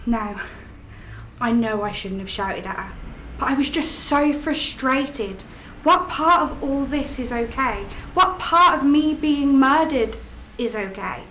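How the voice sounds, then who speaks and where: A woman's voice: high-pitched, sharp tone, echoey room, a little hiss or hum, exaggerated voice, low-quality recording. An actress playing the ghost of a young murdered woman, distraught and prone to outbursts.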